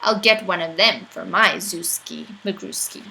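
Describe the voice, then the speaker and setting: A woman's voice: fairly low pitch, unhurried, gravelly, pushed and bright, low-quality recording. A woman reading a rhyming children's picture book aloud in a playful, theatrical storytelling manner.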